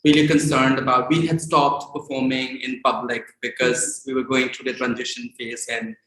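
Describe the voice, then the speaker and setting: Male audience speaker, medium pitch, hesitant informal delivery, roomy echo with some noise. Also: little pitch movement, moderate pace, pushed and bright. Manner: hesitant, searching for words. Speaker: audience member giving feedback. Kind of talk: informal spoken tribute. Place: indoor event space, at a laptop. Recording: echoey room, clearly noisy with clicks.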